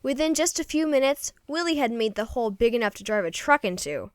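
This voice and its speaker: A woman reading a children's story aloud in an expressive, folksy first-person storytelling manner. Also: on the high side, quick pace, clear, resonant tone, no echo, clean studio sound. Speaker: storybook reader.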